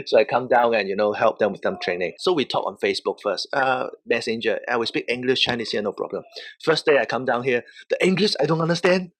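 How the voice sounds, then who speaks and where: A man's voice: medium pitch, animated, fairly quick, a bit bright. A man from Hong Kong, a native Cantonese speaker with a Hong Kong accent, telling stories casually as an interview guest. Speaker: professional wrestler, interview guest. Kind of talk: casual storytelling.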